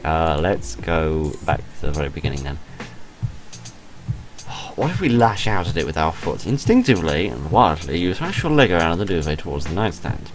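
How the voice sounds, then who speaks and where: A British man hosting a game playthrough, reading story text aloud theatrically and reacting with incredulous, hesitant asides. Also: sits low. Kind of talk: gaming commentary, reading visual novel text aloud with ad-libbed reactions. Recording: music under the voice. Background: background music.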